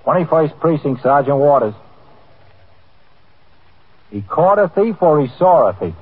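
An actor playing a businesslike police desk sergeant answering calls in a 1950s radio drama, with clipped questions. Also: male voice, fairly high pitch, very animated, unhurried, phone-quality sound, slight background noise. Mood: businesslike, questioning. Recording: thin, band-limited 1950s radio recording.

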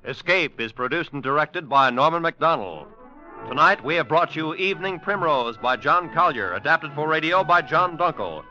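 A man's voice: on the high side, animated, moderate pace, bright, forceful tone. A man reading a scripted announcement in the formal style of a 1940s radio announcer. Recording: dull vintage radio recording over music.

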